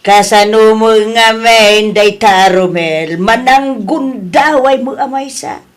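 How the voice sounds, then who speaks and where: A woman's voice: fairly high pitch, slow pace. A woman acting a worried, reproachful middle-aged mother with a Filipino accent in a family audio drama. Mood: accusing. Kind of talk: Ilocano radio-style family drama acting.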